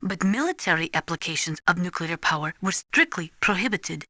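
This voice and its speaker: A serious female weapons analyst in a video game. Her voice is bright and crisp-edged, with lively movement in pitch, recorded very dry. She lectures formally and precisely, in earnest. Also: brisk, no echo. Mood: grave, explanatory. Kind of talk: scripted expository video game dialogue.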